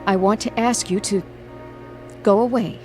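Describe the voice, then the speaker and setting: A woman's voice: mid-range voice, steady tone, unhurried, dark tone, soft background music, close to an everyday voice. An actress playing a firm, outspoken woman devoted to her sweetheart in a radio drama. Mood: earnest pleading.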